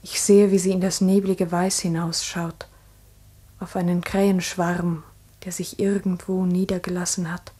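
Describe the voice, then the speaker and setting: Husky, mellow village schoolteacher. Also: female voice, fairly low pitch, little pitch movement, a little slow. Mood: wistful. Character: pensive village schoolteacher recounting strange events. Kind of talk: 1960s radio play narration.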